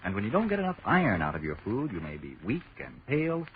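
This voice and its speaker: Deep American vintage radio announcer, male. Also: huge pitch swings, quick pace. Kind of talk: 1940s radio advertising patter. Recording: tinny noisy old radio broadcast.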